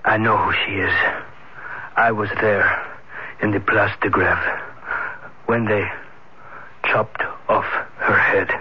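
A grave gendarme, shaken with horror, in a very husky, breathy low voice. It sounds like a dull old radio mystery broadcast. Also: male voice, fairly even intonation, slow pace, pauses often, exaggerated voice. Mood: shaken, horrified. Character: shaken French police officer, grave and horrified. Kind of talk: radio mystery drama acting.

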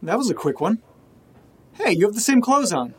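A man's voice: sits high, big pitch swings, moderate pace, crowd noise. An actor playing a cheerful, easygoing male housemate in an audio drama, breezy and casual.

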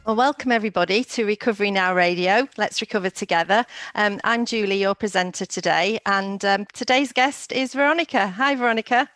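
A woman's voice: medium pitch, brisk, hardly any pauses, fairly bright tone, no echo, soft background music. A woman presenting a radio show, interviewing a guest in a casual, chatty style.

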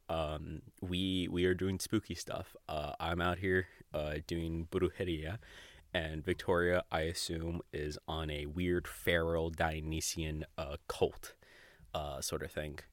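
A man hosting a podcast solo in casual, rambling talk, halting and self-conscious, prone to giggling. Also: low voice, fairly bright tone, dry recording, studio quality. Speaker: podcast host.

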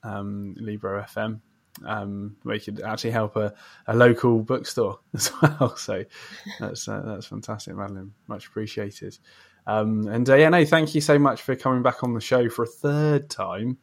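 A man's voice: fairly low pitch, fairly even intonation, moderate pace, gravelly. A man hosting a casual interview podcast, speaking in an effusive, readily agreeing way.

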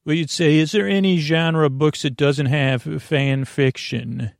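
A man with a creaky voice hosting a bedtime podcast, soothing and whimsical, meandering and halting as he interrupts himself. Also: a little high, steady tone, no room sound, clean studio sound. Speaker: podcast host. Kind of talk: rambling bedtime monologue.

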